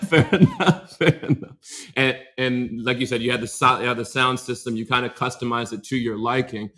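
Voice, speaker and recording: Man, American accent, mid-pitched voice, podcast host, relaxed conversational questions. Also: moderate pace. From United States. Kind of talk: casual interview chat.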